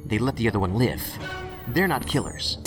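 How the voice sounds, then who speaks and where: A man acts out a reckless, stubborn federal agent in an audio drama. He sounds dry and sardonic, with insistent, clipped phrasing and a medium pitch, and music plays clearly behind him. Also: animated, moderate pace, natural voice. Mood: urgent, intense.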